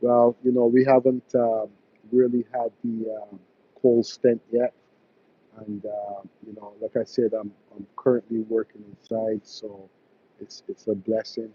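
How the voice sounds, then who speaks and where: A man's voice: fairly low pitch, flat delivery, measured pace, mellow, slightly rough, highs cut off. A man from Barbados, an electrician hosting a live stream, chatting casually with viewers and full of fillers and restarts. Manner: hesitant, many fillers and restarts.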